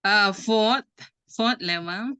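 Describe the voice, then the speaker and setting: A woman's voice: mid-range voice, slow, bright, forceful tone, clean tone, no room sound. A woman with a Thai accent, a Buddhist nun answering as a student in an online class. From Bangkok, Thailand.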